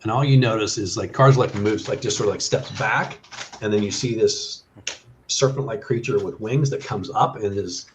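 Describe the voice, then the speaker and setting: A man narrating a tabletop game as game master in a halting way, thinking aloud, on an online call. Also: fairly low pitch, noisy background.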